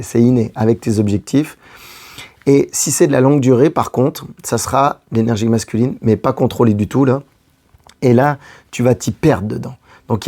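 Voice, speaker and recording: A hoarse man working as a love coach, speaking straight to the viewer in a casual advice monologue and repeating his key phrases. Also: medium pitch, dark tone. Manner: addresses the listener directly, repeating key phrases.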